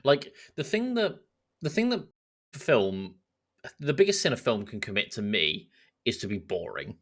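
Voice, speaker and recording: A man's voice: mid-range voice, huge pitch swings, measured pace, a bit bright. A man co-hosting a podcast, chatting casually and bluntly. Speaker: podcast co-host. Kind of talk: casual chat.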